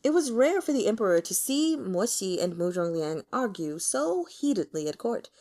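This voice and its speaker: A woman reading a fantasy novel aloud as dramatic, expressive narration. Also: mid-range voice, moderate pace. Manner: dramatic, storytelling. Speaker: audiobook reader.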